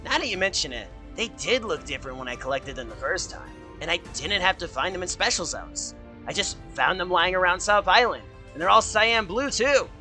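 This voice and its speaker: A man plays a brash teenage cartoon hedgehog hero with a bright, forceful edge. The delivery swings widely in pitch, flippant and wisecracking but loyal. Light music runs underneath. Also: lively intonation, brisk, exaggerated voice. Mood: cocky, casual. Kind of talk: animated fan-dub dialogue.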